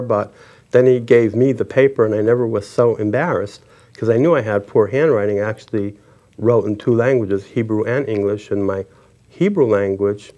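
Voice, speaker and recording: A middle-aged man hosting an interview show, telling a personal story in a halting way. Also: on the low side, darkish tone. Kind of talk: interview conversation.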